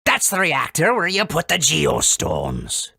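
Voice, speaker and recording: A man voicing a proud, helpful, folksy forest creature in a video game, with a raspy, creaky voice. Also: on the high side, huge pitch swings, measured pace, sharp tone, dry recording, clean studio sound, exaggerated voice. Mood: proud, explanatory. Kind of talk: video game character acting.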